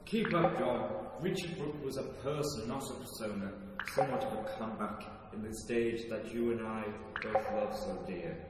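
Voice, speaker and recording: Audio drama detective, male actor: knowing, superior, lowish voice, heavy echo over a noisy recording. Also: lightly acted. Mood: cool, challenging. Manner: condescending, deliberate. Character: cool, knowing, arrogant male detective confronting his nemesis. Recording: very echoey, distant, noisy hall.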